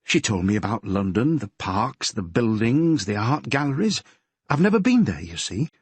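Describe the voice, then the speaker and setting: Man playing a grieving Yorkshire mother, middle-aged, fretful, homely, higher voice, husky edge. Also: very animated, moderate pace, no room sound. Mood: sorrowful. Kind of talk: audiobook character voice.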